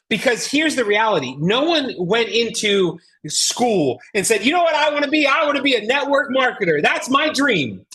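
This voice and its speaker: A woman's voice: mid-range voice, fairly bright tone, breathy edge. A woman with an American accent giving a motivational sales coaching talk as a trainer, emphatic and folksy, with rhetorical questions. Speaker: network marketing trainer.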